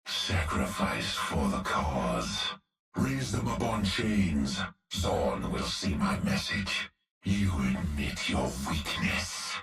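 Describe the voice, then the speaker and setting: A man voicing a brutal, merciless cyborg brute through a mask filter, deep and gravelly. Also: very animated, takes it slowly, a bit bright, echoey room, recorded from a distance, faint music behind, heavily acted. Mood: menacing.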